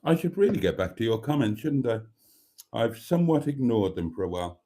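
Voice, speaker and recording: A man's voice: medium pitch, sing-song, a little fast, dark tone. A British man in his fifties chatting casually on a live stream, rambling with frequent ums and calling his viewers darlings. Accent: British English. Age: 50s. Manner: chatty and self-deprecating, calls viewers darlings. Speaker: vlogger host.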